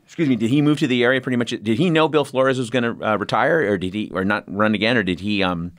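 A man's voice: medium pitch, fast, a bit bright, no echo, studio quality. A man from California hosting a podcast interview, talking casually with lots of restarts and the odd swear word. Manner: rambling, profane, many restarts. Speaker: podcast host.

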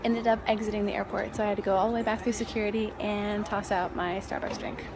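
A woman's voice: mid-range voice, flat delivery, fast. A young woman from Florida vlogging casually on a phone held at arm's length, chatty and rambling, sometimes dropping to a whisper. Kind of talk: casual travel vlog. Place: airports, plane and car, in and out of doors. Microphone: phone camera at arm's length. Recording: loud background noise on phone mic. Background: loud travel noise, vehicles.

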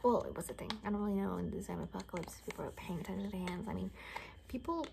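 A young woman doing an ASMR roleplay in soft, half-whispered, gossipy rambling chat. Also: mid-range voice, racing, creaky, noisy background. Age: young adult. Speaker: ASMR performer. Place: small bedroom, indoors.

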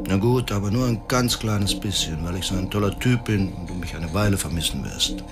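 A man's voice: deep voice, fairly quick. An actor in a German radio drama playing a stoic, weary condemned man, terse and plain-spoken. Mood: tender. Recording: music behind the voice.

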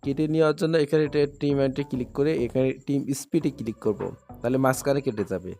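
A man hosts a screen tutorial over background music. He talks quickly at a medium pitch. His phrasing is instructional and repetitive as he goes step by step. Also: warm tone. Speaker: tutorial host.

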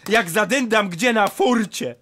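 High-voiced, pompous cowardly hanger-on. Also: male voice, a little slow, pushed and bright, soft background music. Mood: anxious wheedling. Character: cowardly, boastful hanger-on, wheedling and anxious schemer. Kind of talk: theatrical verse comedy radio play.

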